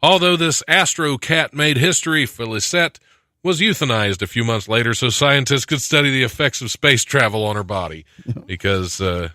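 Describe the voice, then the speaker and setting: A man's voice: medium pitch, animated, normal speed, pushed and bright, dry recording, clean studio sound. A man hosting a casual comedy podcast, reading news items and breaking off into sarcastic, joking asides. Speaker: podcast host. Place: studio.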